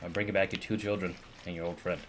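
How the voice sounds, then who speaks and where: A man with a low, deep voice comments casually over his game stream. He sounds laid-back and informal, with faint ambient noise behind him. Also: flat delivery, fast talker, rough recording.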